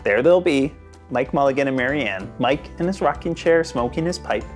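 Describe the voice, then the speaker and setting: A narrator reading a children's storybook aloud with dramatic, expressive emphasis. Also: medium pitch, faint music behind. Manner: dramatic, with sound words and slowed emphasis.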